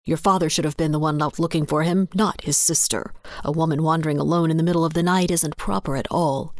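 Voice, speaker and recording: A woman's voice: steady tone, fast talker, dry recording, clean studio sound. A woman voicing a stern, suspicious male sheriff with a commanding manner in an audiobook story. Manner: low, clipped, commanding. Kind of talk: audiobook character dialogue.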